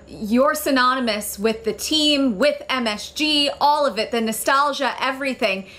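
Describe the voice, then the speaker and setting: High-voiced female sports interviewer. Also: fairly bright tone. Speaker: sports show co-host. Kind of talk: conversational interviewing.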